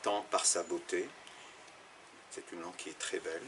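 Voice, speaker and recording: A man's voice: low voice, fairly even intonation, normal speed, noisy background. A man from Algeria, an Arabic teacher, answering interview questions in a thoughtful, explanatory way, with fillers and restarts.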